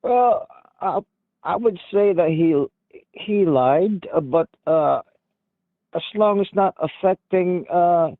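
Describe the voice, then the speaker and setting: A man in his fifties calling in by phone, hesitant and halting, full of uhs. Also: sits high, no echo. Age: 50s. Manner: hesitant, many uhs and restarts. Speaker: caller. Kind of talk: phone-in conversation. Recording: tinny dry phone line.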